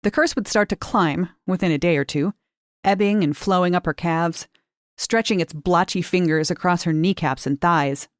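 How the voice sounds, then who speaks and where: A woman with a slightly low voice narrates a short story in a measured, expressive way. She lifts key words for emphasis. The recording is close and dry, with no room sound. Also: no room sound. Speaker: reader of short fiction.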